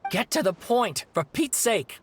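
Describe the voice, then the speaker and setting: A man's voice: high-pitched, sing-song, a little slow, slight background noise, full character voice. A man playing an earnest, impatient young scholar in a video game, speaking in a pointed way. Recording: music behind the voice.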